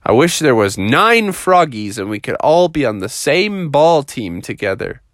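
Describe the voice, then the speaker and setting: A man voicing an easygoing, excitable young frog in a children's story, talking in a casual, slangy, enthusiastic way. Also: mid-range voice, a little slow, no echo, studio quality. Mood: eager, casual. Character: easygoing, excitable young male frog who loves baseball.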